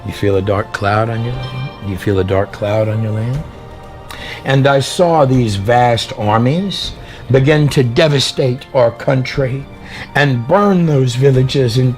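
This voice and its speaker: A man's voice: mid-range voice, gravelly. A man delivering a dramatic sermon and reading a prophecy aloud, theatrical and emphatic, drawing out phrases and posing rhetorical questions. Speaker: preacher and storyteller. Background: background music.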